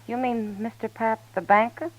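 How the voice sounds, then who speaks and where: An actress playing a frank, folksy frontier saloon keeper in a 1950s radio drama. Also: female voice, fairly high pitch, fairly even intonation, moderate pace, lightly acted. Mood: shocked. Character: saloon owner, grown woman, warm and frank. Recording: lo-fi old radio broadcast with faint hiss.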